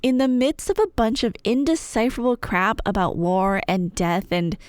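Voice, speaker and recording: A woman's voice: fairly high pitch, moderate pace, darkish tone, clear, resonant tone, no room sound, clean studio sound. A woman playing a chatty, nerdy girlfriend, rambling through a story in a wry, irreverent, slangy way. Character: chatty, playful, nerdy girlfriend telling myths. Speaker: audio roleplay performer playing a girlfriend. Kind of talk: casual rambling storytelling, scripted ASMR roleplay.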